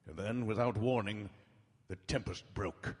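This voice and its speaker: An actor playing a solemn storyteller, giving a deliberate, suspenseful radio narration of a gothic horror tale with long pauses. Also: male voice, fairly low pitch, husky. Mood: ominous, suspenseful. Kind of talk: dramatic scripted radio narration. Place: town hall stage. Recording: echoey live hall.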